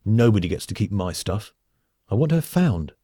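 A man's voice: lowish voice, sing-song, moderate pace, warm tone, husky, no echo, clean studio sound. A man voicing an entitled, swaggering rich heir with a bossy, demanding manner in an audiobook. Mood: angry, commanding. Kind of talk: audiobook character acting.

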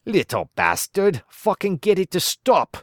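A man's voice: mid-range voice, sing-song, a little slow, vocal fry, a bit bright, no echo, clean studio sound, voice pushed up, full character voice. A man voicing a foul-mouthed, angry young Scottish lad who snarls his words. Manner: snarling, sweary.

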